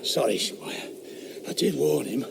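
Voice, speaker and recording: An actor playing a deferential rustic servant in an 18th-century radio drama. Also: male voice, medium pitch, lively intonation, measured pace, gravelly, noisy background. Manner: deferential, calling out.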